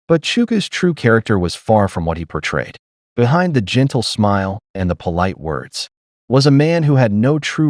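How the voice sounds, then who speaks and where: A man narrating a scripted dramatic story in a solemn, measured storytelling manner. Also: lowish voice, dry recording, clean studio sound.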